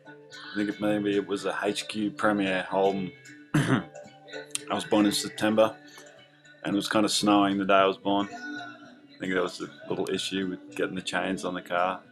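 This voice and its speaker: A young Australian man casually telling the story of his childhood, rambling with lots of fillers over soft background music. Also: deep voice, poor audio. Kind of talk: casual narration over drawings.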